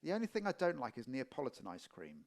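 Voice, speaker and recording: A man from Portsmouth with a Middle England accent, hosting a casual interview and telling relaxed anecdotes. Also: medium pitch, fast, crowd noise. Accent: Middle English. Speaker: interviewer, podcast host.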